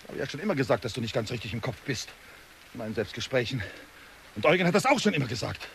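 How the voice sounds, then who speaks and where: A middle-aged father in a radio drama, bitter and quick to anger. His scolding, brooding delivery swings widely in pitch, over faint background noise. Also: very animated, creaky, a bit bright. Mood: furious, despairing. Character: agitated, bitter father of a grown son, easily enraged. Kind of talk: German radio drama acting.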